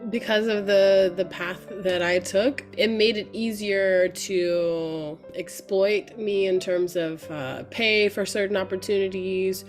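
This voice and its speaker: A woman's voice: mid-range voice, steady tone, takes it slowly, few pauses, soft background music. A woman from Durham, North Carolina, speaking reflectively.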